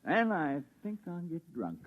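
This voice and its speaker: A wisecracking moon geologist. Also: male voice, a little high, wildly swooping pitch, measured pace, heavily acted. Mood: cheerful. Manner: joking, teasing. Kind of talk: 1950s radio drama acting. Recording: tinny vintage radio broadcast.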